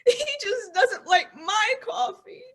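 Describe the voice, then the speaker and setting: A woman playing a distraught, embarrassed young housewife in an old-style radio commercial skit, with halting, whiny, sobbing delivery. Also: very high-pitched, a bit bright, raised voice, heavily acted. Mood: tearful, embarrassed.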